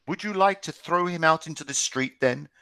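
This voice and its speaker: A man's voice: fairly high pitch, animated, fairly quick, no echo, pitched above the performer's own voice. A man reading aloud, voicing a defiant teenage boy who snaps back at his father. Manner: sharp, retorting.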